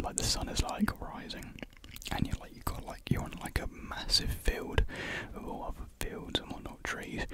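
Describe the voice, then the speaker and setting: A young man speaks entirely in a soft, airy whisper, right up against a condenser microphone in a small room. He rambles informally, as in a relaxed ASMR chat between friends. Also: rough recording. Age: young adult. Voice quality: soft breathy unvoiced whisper. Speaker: ASMR creator. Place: small indoor room with painted block walls. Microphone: large desk condenser mic close to the mouth.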